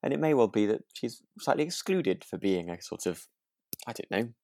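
A man's voice: on the low side, lively intonation, moderate pace, darkish tone, no echo. A British man talks as a podcast co-host in an easy, conversational style. His delivery is hesitant and meandering, and the sound is dry and close. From United Kingdom. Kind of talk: casual chat.

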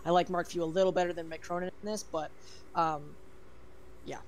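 A man's voice: a little high, steady tone, fairly quick, a little hiss or hum. A man co-hosting a casual sports podcast, talking with many ums and restarts. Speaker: podcast co-host.

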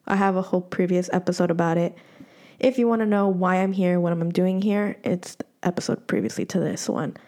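Chatty woman podcast host with a mellow, warm voice and loose, filler-heavy talk. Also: on the low side, fairly even intonation, a little fast. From United States. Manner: chatty, many fillers and restarts. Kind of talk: casual podcast chat.

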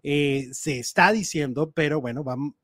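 Man, slightly high voice, dry sound, lively gossip chat. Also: unhurried, dry recording. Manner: animated, chatty, reads out news items. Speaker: gossip show co-host.

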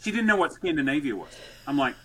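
A man guesting on a podcast, telling stories and dad jokes loudly in a rambling, lively way. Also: a little high, fast talker, crowd noise, rough recording. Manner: loud, joke-telling, rambling. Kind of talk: lively conversational storytelling.

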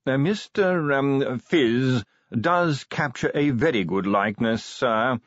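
A man voicing a tactful, unflappable butler in an audiobook, formal, measured and cautiously polite. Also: mid-range voice, no echo, lo-fi sound, pitched above the performer's own voice, natural voice. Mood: formal, apologetic. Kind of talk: audiobook character voice.

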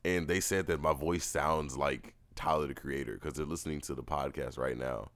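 Raspy deep-voiced young man. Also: steady tone, normal speed, fairly bright tone, no room sound. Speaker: podcast co-host. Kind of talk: casual banter.

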